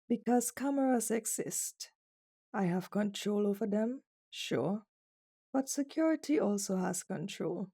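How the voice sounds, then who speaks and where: A woman's voice: mid-range voice, dark tone. A woman playing a cold, dominant female CEO with a stern, condescending and flirtatious manner in an ASMR roleplay. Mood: curt, commanding.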